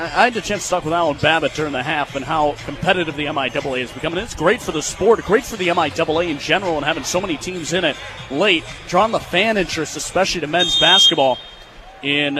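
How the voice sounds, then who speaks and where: A young American man calling live basketball on radio as play-by-play broadcaster, in clipped phrases and excitable on big plays. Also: on the high side. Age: young adult. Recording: clearly noisy live sports venue sound.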